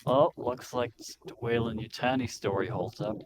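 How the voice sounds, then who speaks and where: A man playing a gruff, cynical, foul-mouthed spaceship pilot in a tabletop game, blunt and grumbling in a casual way. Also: mid-range voice, moderate pace, close to an everyday voice. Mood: grumbling, suspicious. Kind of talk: in-character tabletop roleplaying. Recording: noisy home mic with keyboard typing.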